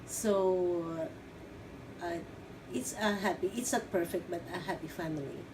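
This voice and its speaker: Woman, from the Philippines, middle-aged, halting with fillers, distant mic, noisy background. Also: fairly low pitch. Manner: halting, many fillers and restarts. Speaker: interviewee. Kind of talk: oral history interview.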